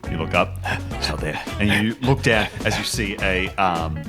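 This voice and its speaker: A man improvising tabletop game narration as game master, casual and halting. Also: low voice, music in the background.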